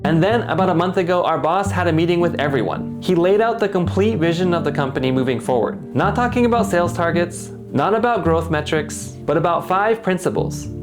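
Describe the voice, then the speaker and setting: A man's voice: high voice, lively intonation, fairly quick, hardly any pauses. A man who makes videos for a company's English channel, narrating his vlog in a reflective, earnest voice. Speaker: company YouTube creator. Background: guitar music.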